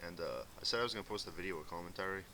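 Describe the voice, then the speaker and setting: Young man, light Boston accent, very low voice, rambling casual commentary, faint hiss. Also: very fast, pushed and bright. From Massachusetts. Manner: rambling, filler-heavy, laid-back. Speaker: gaming video commentator. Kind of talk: casual commentary over gameplay. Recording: faint hissy home recording.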